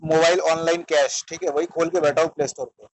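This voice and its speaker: A man's voice: a little high, little pitch movement, quick pace, poor audio. An Indian man hosting a phone interview, questioning and instructing a caller in a probing way, mixing in English words. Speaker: interviewer and host of a channel.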